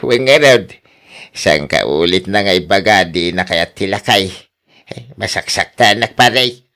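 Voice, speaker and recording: An actor with a Filipino accent playing a teasing, persuasive village buddy in a radio drama, needling with questions. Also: male voice, low voice, expressive, measured pace, sharp tone, full character voice. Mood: reluctant. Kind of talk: Ilocano radio drama acting.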